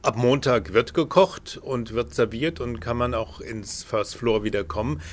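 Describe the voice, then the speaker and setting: A man's voice: mid-range voice, a little slow, slight background noise. Male presenter and interviewer, fluent and polished, suited to scripted reporting and conversational questions. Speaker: radio interviewer and narrator.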